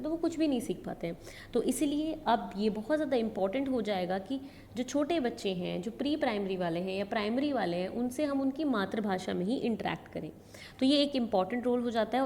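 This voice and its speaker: A woman's voice: on the high side, warm tone. A woman with a North Indian accent giving an explanatory lecture, mixing in English terms and repeating key points in new words. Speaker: education lecturer. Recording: echoey hall reverb, background noise.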